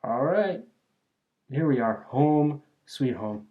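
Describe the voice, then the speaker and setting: A man's voice: medium pitch, animated, slow, narrow, tinny audio. Man playing a folksy, cocky bandit leader. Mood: teasing, easygoing. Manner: folksy, teasing, chatty drawling slang. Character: cocky, friendly male bandit gang leader. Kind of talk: ASMR roleplay monologue addressed to listener.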